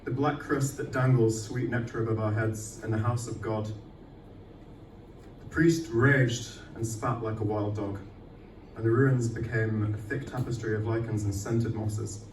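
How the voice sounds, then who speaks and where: A man's voice: lowish voice, steady tone, unhurried, a little mellow. Man performing poetry, echoey and distant. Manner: sweary, self-deprecating. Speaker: poet performing own work. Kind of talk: live poetry reading with casual banter. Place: indoor arts night venue, live event. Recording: echoey live venue, distant mic, faint noise.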